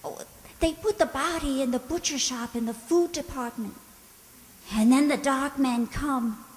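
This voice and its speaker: A woman playing a frightened, naive young maid in a radio drama, speaking gently and hesitantly. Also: sits high, a little slow, long reverb tail. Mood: fearful. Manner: hesitant, timid, repeats words.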